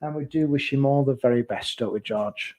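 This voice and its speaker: A man's voice: medium pitch, brisk, darkish tone. A man hosting a casual football podcast, bantering and teasing his co-host.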